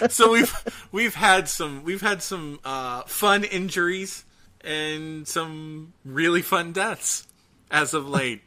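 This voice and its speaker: A man co-hosting a casual podcast, talking in a chatty, halting way. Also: a little high, bright, forceful tone. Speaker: podcast co-host.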